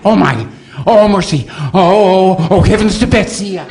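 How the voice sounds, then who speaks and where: An actor playing a fussy, timid, easily flustered neighbor who stammers in a fluttery, prissy way, in old-time radio comedy style. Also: male voice, sits high, unhurried. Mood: flustered, scandalized. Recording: live stage re-creation, some noise.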